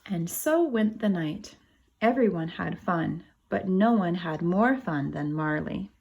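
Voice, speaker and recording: A woman reads a picture book to young children in the style of a kindergarten teacher. Her pace is unhurried and her voice has a noticeable creak. She steps out of the text to explain and to put questions to her listeners. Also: lowish voice, expressive, darkish tone, poor audio. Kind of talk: animated storybook read-aloud with asides to children.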